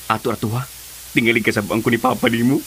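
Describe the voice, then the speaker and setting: A man's voice: medium pitch, flat delivery, fast talker, sharp tone, lightly acted. A man with a Cebuano accent playing a teasing, easygoing uncle in a radio drama. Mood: teasing, casual. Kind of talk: Filipino radio drama acting. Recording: faintly hissy radio drama recording.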